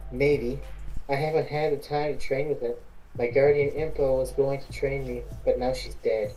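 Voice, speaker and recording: An amateur acting out a princess burdened by a kingdom at war. The voice is dark and relaxed at a middling pitch, earnest but halting. Music plays behind it. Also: natural voice, rough recording. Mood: hesitant. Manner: hesitant, stumbling over lines.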